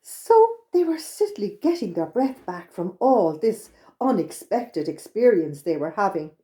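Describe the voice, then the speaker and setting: A woman's voice: mid-range voice, big pitch swings, measured pace, mellow. A woman with an Irish accent narrating a children's storytime expressively, exclaiming and repeating things for young listeners.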